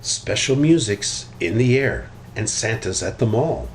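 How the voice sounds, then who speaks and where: A man reading a children's picture book aloud in an expressive, animated way. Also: on the low side, unhurried. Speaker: storybook reader. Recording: noticeable background noise.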